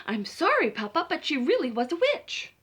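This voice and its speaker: Storybook little hen child, played by a woman reader: eager, pleading, high voice with big swoops. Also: moderate pace. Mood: apologetic. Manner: pleading, excitable, interrupting. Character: eager little red chicken girl at bedtime.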